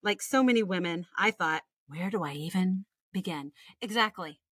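A woman's voice: fairly low pitch, lively intonation, normal speed, a bit bright, slightly rough, no echo. An American woman co-hosting a podcast, reading listener stories aloud in a casual, sweary way with chatty asides. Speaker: podcast co-host.

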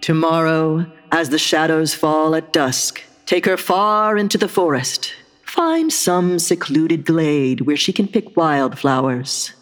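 Woman acting slow, imperious evil queen. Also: low-pitched. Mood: cold, commanding. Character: vain, menacing evil queen stepmother. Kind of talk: comic recreation of 1930s radio drama.

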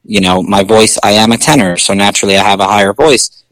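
A male singer with a low speaking voice, chatting casually in an interview with many fillers and restarts. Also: fast, fairly bright tone, dry recording.

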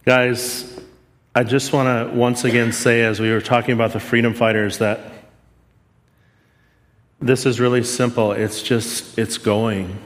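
A man's voice: mid-range voice, level tone, unhurried, pauses often. A man giving a sermon, telling a personal story in an earnest, self-deprecating way. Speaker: preacher. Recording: long hall reverb.

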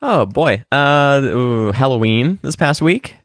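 A man's voice: medium pitch, lively intonation, slow, no echo, clean studio sound. A man co-hosting a podcast, talking casually and rambling with lots of fillers. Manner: rambling, with many fillers and restarts. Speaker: podcast co-host. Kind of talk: casual chat.

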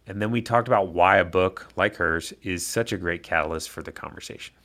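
Low-voiced man hosting a podcast. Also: brisk, gravelly, fairly bright tone. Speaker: podcast host. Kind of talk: conversational podcast introduction and interviewing.

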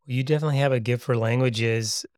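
A man who interviews the guest and reads short narrated bridges in a calm, measured, encouraging way. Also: mid-range voice, no echo, studio quality.

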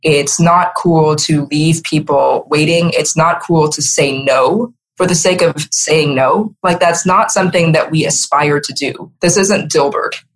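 A woman's voice: sits low, steady tone, measured pace, few pauses, low-quality recording. A woman, middle-aged, speaking earnestly and emphatically as a guest in a conversational podcast interview. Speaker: interview guest, marketing executive.

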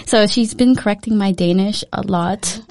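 A woman's voice: mid-range voice, moderate pace, a little mellow. A woman in her thirties hosting a casual podcast chat, talking freely, laughing often, with many fillers and restarts. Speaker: podcast host.

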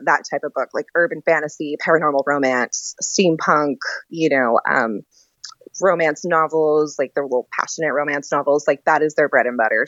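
A woman's voice: sits low, a bit bright, no room sound, muffled recording. A woman speaking as a guest author in a casual, chatty and informal interview conversation.

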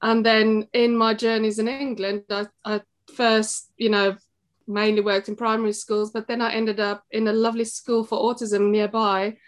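A middle-aged woman with a South African accent speaks in a level, steady tone that barely rises or falls. She thinks aloud as she goes, pausing and restarting often in easy conversation. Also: fairly high pitch. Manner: thoughtful, halting with fillers and restarts. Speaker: guest, shop co-owner. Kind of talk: casual interview chat.

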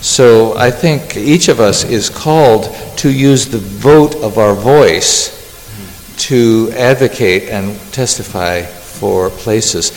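A man speaking reflectively as a panelist, with measured, lyrical phrasing. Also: on the low side. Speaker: panelist, poet. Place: large hall, live panel. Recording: reverberant hall.

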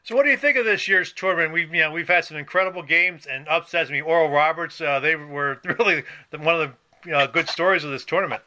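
A man hosting a sports podcast interview, speaking somewhat haltingly as he puts his questions. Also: on the high side, bright, forceful tone.

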